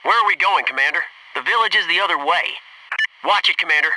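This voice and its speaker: An actor playing a focused, businesslike Navy SEAL in a military video game, giving terse, clipped tactical callouts and matter-of-fact reports. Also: male voice, on the high side, lively intonation, fast, far from the mic, noisy background, natural voice. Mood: alert, cautioning.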